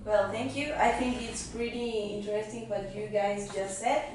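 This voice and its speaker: A woman's voice: mid-range voice, steady tone, moderate pace, a little mellow, natural voice. A woman playing an eager, polite scholar as a guest in a talk-show skit. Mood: explaining. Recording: echoey room, distant mic, faint noise.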